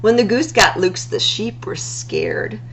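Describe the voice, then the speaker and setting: A woman reading rhyming picture books aloud as a storytime host, in an expressive, singsong delivery with drawn-out words. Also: mid-range voice, slight background noise.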